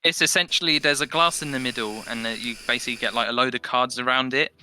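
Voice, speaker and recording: A man's voice: mid-range voice, steady tone, fast talker, sharp tone, slight background noise, poor audio. A young British man chatting casually, with slangy, sweary, blunt banter. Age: young adult. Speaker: podcast guest. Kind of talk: casual chat.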